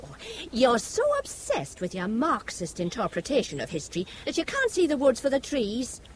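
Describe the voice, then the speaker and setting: An actress playing a devout, sharp-witted woman in an audio drama, debating firmly and wryly. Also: a little high, big pitch swings, slight background noise. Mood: earnest, combative. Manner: quick-witted, firm, wry debater.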